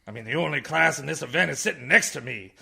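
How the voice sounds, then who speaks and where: An actor playing a blind, tired old man, a blustering, indignant orator with a raspy voice in a radio comedy. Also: a little high, fast talker, heavily acted. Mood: blustering outrage. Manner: theatrical, ranting, swings from muttering to shouting. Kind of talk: radio comedy play parodying a film speech.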